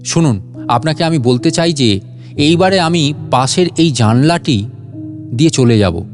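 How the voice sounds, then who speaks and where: A man's voice: fairly high pitch, lively intonation, unhurried, a little mellow, soft background music. A man voicing a cocky master thief in a comic storybook reading. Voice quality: coughing laugh. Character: cocky master thief with a hacking cough, later panicking.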